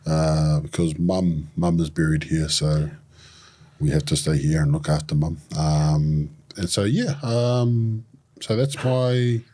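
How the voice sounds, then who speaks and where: Samoan-born man with a low baritone. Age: 40s. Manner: relaxed, joking, with fillers and restarts. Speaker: podcast guest. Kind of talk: casual interview.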